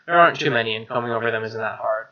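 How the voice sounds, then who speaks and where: A man reading a visual novel's narration aloud as a let's play host, wry and expressive, over faint music. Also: mid-range voice, rapid-fire, dull audio. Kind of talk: reading game narration with asides. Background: game music.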